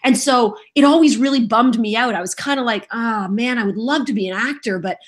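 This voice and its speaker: Lively, self-mocking actress telling stories. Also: female voice, fairly high pitch, brisk. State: just getting over a cold. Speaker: voice actress guest. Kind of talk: casual interview chat.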